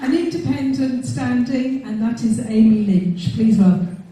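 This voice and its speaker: A woman with a fairly high voice chairs an event in a very echoey hall with an audience around. She speaks slowly and deliberately, making short introductions of each speaker. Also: warm tone. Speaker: hustings chair introducing candidates. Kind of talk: event announcements. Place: large community hall with audience. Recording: echoey hall, distant, audience, faint noise.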